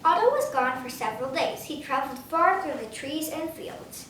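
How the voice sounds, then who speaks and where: A woman's voice: high-pitched, animated, moderate pace, a bit bright. A ten-year-old girl reading a children's storybook aloud. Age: child, ten years old. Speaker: story reader. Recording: very echoey room, distant mic, faint noise.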